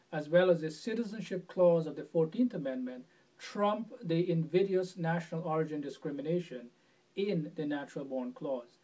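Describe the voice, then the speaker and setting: A man from Guyana answers interview questions in an earnest, measured way. His voice sits a little high for a man and stumbles over a word now and then. He sounds set back from the mic. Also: warm tone, far from the mic, low-quality recording. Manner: earnest, measured, repeats words at restarts. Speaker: presidential candidate.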